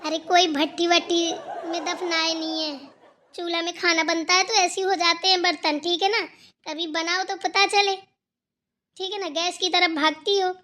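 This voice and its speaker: A woman plays a hardworking, outspoken younger daughter-in-law in a village family cartoon. Her voice sits extremely high with a crisp, bright sharpness. She answers back teasingly and likes to moralize. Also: fairly even intonation, moderate pace. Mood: defensive, sarcastic. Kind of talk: acted dialogue in Hindi family cartoon.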